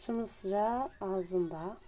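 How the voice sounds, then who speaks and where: Slow-paced drawing video host. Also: medium pitch, dark tone. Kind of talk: casual drawing tutorial narration.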